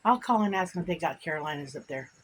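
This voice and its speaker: A woman's voice: low voice, lively intonation, fast talker, slight background noise. A woman hosting a vlog in casual, rambling talk, stuffed up from allergies, outdoors with birds and insects around. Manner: chatty, rambling and repetitive. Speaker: vlog host. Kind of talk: casual chat to camera.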